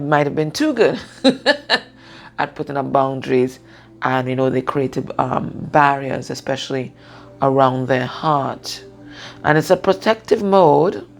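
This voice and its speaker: A woman speaks in a husky, low-pitched voice with plenty of gravelly fry. She talks casually and without a script, as a card reader does, pausing and checking in with the listener as she goes. Faint music plays behind her. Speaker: tarot and oracle card reader. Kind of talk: casual spoken card reading.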